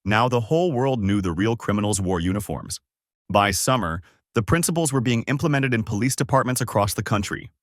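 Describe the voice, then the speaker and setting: A man narrates a dramatic story in a measured, polished way. His voice sits fairly low, and the sound is dry and close, with no room around it. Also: animated, no room sound. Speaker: narrator of an online story channel.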